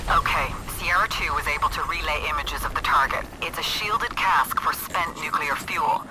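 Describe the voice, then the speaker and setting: An actor playing a stern, authoritative military commander giving brisk, official mission briefings in a video game. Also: male voice, a little high, noisy background, lightly acted. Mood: brisk, serious. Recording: loud background music.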